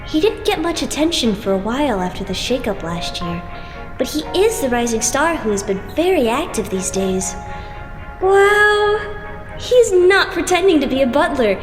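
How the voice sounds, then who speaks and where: A woman's voice: sits high, big pitch swings, moderate pace, over music. A woman voicing an eager, chatty teenage boy idol in an anime-style fan dub, earnest and easily starstruck. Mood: starstruck, excited.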